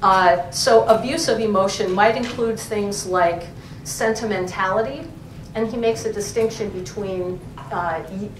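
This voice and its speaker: A woman's voice: mid-range voice, unhurried. A female professor talking casually at a library event, often breaking off and restarting her sentences. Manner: chatty, self-interrupting, many fillers. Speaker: professor, co-editor. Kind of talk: conversational academic talk. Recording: echoey room, distant mic, faint noise.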